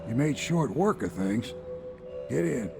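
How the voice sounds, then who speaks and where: Gravelly, humble old boatman. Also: mid-range voice, expressive, unhurried, over music. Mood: frank approval. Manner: plain-spoken, respectful. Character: humble, deferential older male boatman, loyal and awkward. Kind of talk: video game cutscene acting.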